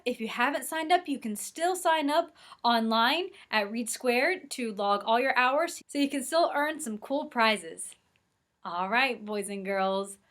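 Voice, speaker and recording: A woman librarian introducing a children's puppet show in a cheerful, plain way. Her voice is fairly high. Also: fairly bright tone.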